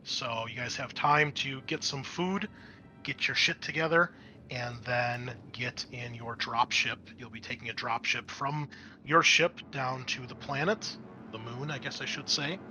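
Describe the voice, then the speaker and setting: A man narrating a tabletop game as game master in a casual, improvised way, often halting to think aloud. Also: mid-range voice, fairly even intonation, bright, forceful tone. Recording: clear background noise.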